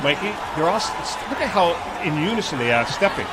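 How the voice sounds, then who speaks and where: A man's voice: on the high side, sing-song, quick pace. A man doing joking parade commentary for radio, teasing his co-hosts and repeating himself for effect. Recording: noisy street, loud crowd and music. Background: loud crowd and parade music.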